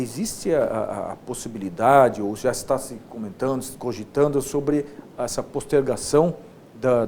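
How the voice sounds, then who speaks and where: A Brazilian man hosting a casual interview, phrasing his questions in a halting, repetitive way with frequent checking tags. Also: dark tone. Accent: Brazilian Portuguese. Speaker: interview host, state deputy. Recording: faint rumbling background noise.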